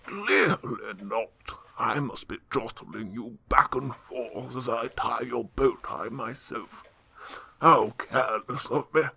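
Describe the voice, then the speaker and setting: A man's voice: mid-range voice, expressive, takes it slowly, creaky, pushed and bright, slightly rough, dry recording, exaggerated voice. A man playing a dry, sardonic, loyal butler and mentor in a radio drama, speaking formally with wry admonishment. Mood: dry sarcasm.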